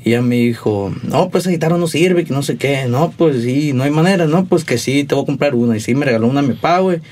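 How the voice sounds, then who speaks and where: A young man in his twenties from Sonora, with a Sonoran accent, talks as a musician guest on an interview show. His voice is mid-pitched, and he tells his stories in a laid-back, slangy, rambling way. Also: fast, darkish tone. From Sonora, Mexico. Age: 20s. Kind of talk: casual interview storytelling.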